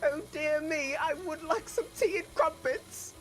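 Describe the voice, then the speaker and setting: A man putting on an exaggerated, mocking voice of a posh British gentleman. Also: extremely high pitch, unhurried, soft background music, voice pushed up, low-quality recording.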